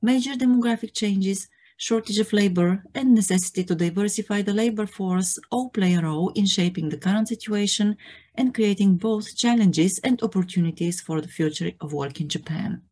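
A woman co-hosting a podcast, reading a scripted introduction in an even, measured way. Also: mid-range voice. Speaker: podcast co-host.

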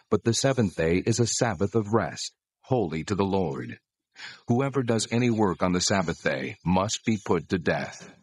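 Deep, husky, solemn God. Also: male voice, a little mellow, dry recording. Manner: solemn, deliberate, authoritative. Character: God, solemn male deity giving commands. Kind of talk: dramatized scripture reading.

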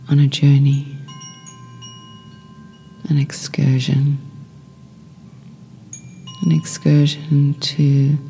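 Very slow, low, whispery woman's meditation voice. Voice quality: breathy, often whispery. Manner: hushed, soothing, short drawn-out phrases with long pauses. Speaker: guided meditation host. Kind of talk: guided sleep meditation. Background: meditation music with singing bowl tones.

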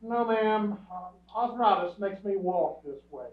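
Weary hotel clerk, male actor, slow and halting, dry radio-comedy delivery, tinny echoey recording. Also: high-pitched. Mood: dry, unimpressed. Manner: halting, deadpan. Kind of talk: old-time radio comedy acting on stage. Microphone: distant camera. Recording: tinny, echoey stage from far off.

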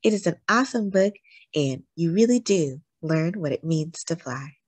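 A woman reading a children's picture book aloud expressively, with dramatic, deliberate phrasing. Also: lowish voice, animated, slightly rough, no echo. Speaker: story reader, host of a read-aloud channel.

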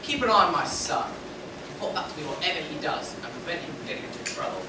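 A snobbish, blustering pantomime king played by an actor, lecturing and commanding with theatrical pomp. The delivery swings widely in pitch. It is heard from far off in an echoey hall. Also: sing-song, quick pace, noisy background, natural voice. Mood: exasperated, commanding. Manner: pompous, theatrical, exasperated. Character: pompous blustering king, snobbish father of a prince. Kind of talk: pantomime stage acting. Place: indoor stage of a small theatre. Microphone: distant audience camera.

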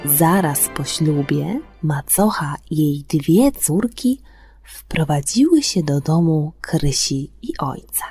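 A woman hosting a children's bedtime radio show, presenting and telling stories in an expressive way for young listeners. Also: deep voice. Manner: expressive, drawn-out delivery for children. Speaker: radio host and storyteller. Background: music.

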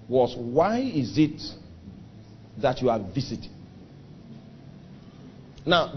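Nigerian man preaching with lively swings. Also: highs cut off, slight background noise. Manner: emphatic preaching with sudden pitch and volume swings, drawn-out phrases. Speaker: pastor. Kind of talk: sermon.

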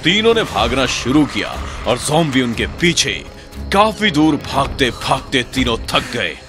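A man narrating a cartoon horror story in a lively, dramatic way. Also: mid-range voice, measured pace. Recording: narration over loud music.

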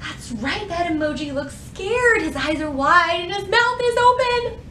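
A woman in her thirties presenting a children's storytime, with expressive, carefully phrased delivery meant for small children. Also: very high-pitched, measured pace, echoey room, slight background noise. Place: indoor library room.

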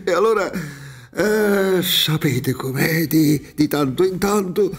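A man's voice: fairly high pitch, animated, takes it slowly. A man playing a naive, jovial, tipsy guest in a radio play, theatrical and hesitant. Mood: tipsy, confiding. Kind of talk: radio play acting read from script. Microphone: studio mic on boom at desk.

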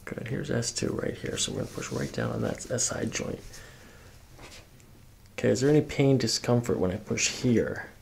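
A man's voice: mid-range voice, wildly swooping pitch, normal speed, creaky, a little hiss or hum. A man in his thirties working as a chiropractor, talking a patient through a hands-on exam with instructions and short check-in questions. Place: small carpeted clinic treatment room. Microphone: microphone on a boom arm near the table.

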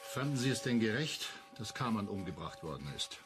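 An actor playing a persistent, provocative visitor who presses with questions in a German radio crime drama. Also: male voice, on the low side, sing-song, brisk, over music. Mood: probing.

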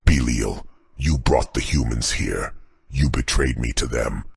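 Huge imperious demon lord for an audiobook, insanely low husky booming voice, pompous, dry sound. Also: male voice, no room sound, heavily acted. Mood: accusing, stern. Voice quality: very deep, booming. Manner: slow, pompous, regal. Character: Satan, vast demon king, imperious and vengeful. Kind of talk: audiobook character acting.

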